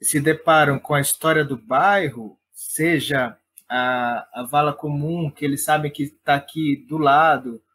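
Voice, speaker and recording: A man's voice: on the high side, fairly even intonation, a little slow, a bit bright, slight background noise. A man with a São Paulo Brazilian accent, speaking reflectively and a little hesitantly as an interviewed theatre artist. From Perus, São Paulo, Brazil. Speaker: interviewed playwright and theatre director.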